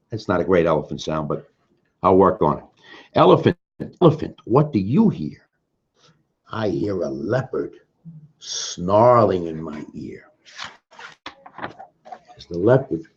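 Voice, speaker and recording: A man's voice: low voice, slightly rough. A man reading a picture book aloud to young children, with sing-song refrains, simple explanations and questions to the listeners. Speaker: children's story reader. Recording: dull, muffled lo-fi sound.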